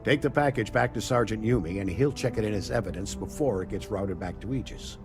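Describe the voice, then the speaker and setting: An actor playing a composed male secret agent in a video game, dry, measured and slightly condescending. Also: lowish voice, lightly acted. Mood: businesslike. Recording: prominent music behind the voice.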